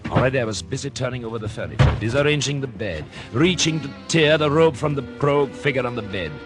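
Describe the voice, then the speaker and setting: An American actor playing a calculating, murderous doctor in an intense, deliberate first-person narration for a 1940s radio suspense drama. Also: male voice, mid-range voice, animated, lightly acted. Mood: smug, gloating. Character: calculating murderous husband, a doctor, smug then horrified. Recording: 1940s radio recording with music behind.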